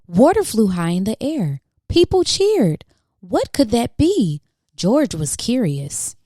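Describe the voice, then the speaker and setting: A woman's voice: medium pitch, warm tone, dry recording, clean studio sound. A woman reading a children's picture book aloud as a storytime host, with dramatic questions and exclamations for young listeners.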